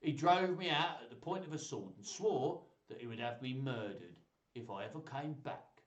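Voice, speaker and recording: A man's voice: mid-range voice, lively intonation, moderate pace, highs cut off, natural voice. A man voicing a lonely, gallant young wrestler in a storybook reading, earnest and sorrowful, with a Cockney accent. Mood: bitter. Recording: roomy echo, distant microphone.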